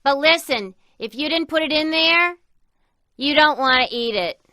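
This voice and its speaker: An actress voicing a wisecracking, world-weary female office receptionist in a video game, with a deadpan, sarcastic delivery. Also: high voice, normal speed, no echo, clean studio sound. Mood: sardonic. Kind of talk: scripted video game dialogue.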